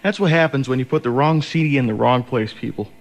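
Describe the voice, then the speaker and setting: A man's voice: medium pitch, fast talker. A young man hosting as a college radio DJ, chatting casually between songs in a sleepy, hesitant, rambling way on an over-the-air recording. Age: young adult.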